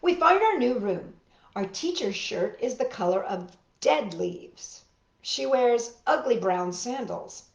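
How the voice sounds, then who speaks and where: A woman hosting storytime, reading a picture book aloud in an expressive, exaggerated way with drawn-out words. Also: fairly high pitch, gravelly, roomy sound. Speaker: children's storytime host and reader.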